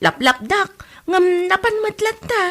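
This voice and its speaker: A woman's voice: extremely high pitch, big pitch swings, unhurried, fairly bright tone, full character voice. A woman with an Ilocano accent voicing a cheeky young child in a comedy audio drama. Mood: tattling.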